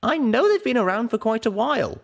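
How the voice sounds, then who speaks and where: An earnest young pony mare from a storybook adventure, acted out by a man, speaks in a voice pushed far up high. She is bashful and hesitant, with a lively swing in pitch. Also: brisk, vocal fry, heavily acted. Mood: earnest, determined.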